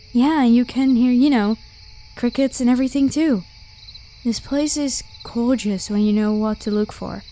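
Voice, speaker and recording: A woman voicing a carefree, protective vampire warrior of high rank in a casual, reassuring roleplay style. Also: dark tone. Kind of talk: ASMR roleplay acting. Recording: clear background hiss with night crickets. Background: crickets and insect ambience.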